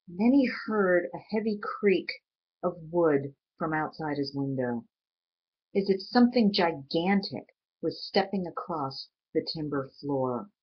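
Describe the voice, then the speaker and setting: A woman reading a storybook aloud in an expressive, deliberate way, with dramatic pauses. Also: mid-range voice, breathy edge. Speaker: reader. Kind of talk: storybook read aloud, expressive scripted narration. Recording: dull lo-fi recording.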